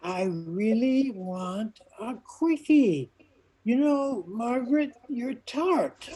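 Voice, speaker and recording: A woman's voice: fairly high pitch, lively intonation, mellow, slightly rough, exaggerated voice. A woman playing a guileless, hard-of-hearing hundred-year-old man in a radio comedy play, talking in a muddled, elderly way. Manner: slow, muddled elderly talk.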